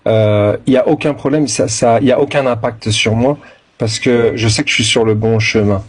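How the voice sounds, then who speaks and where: Calm, hesitant man from Brittany. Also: medium pitch, normal speed. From Brittany, France. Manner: calm, hesitant with many fillers. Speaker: live stream host and convert. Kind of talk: casual discussion.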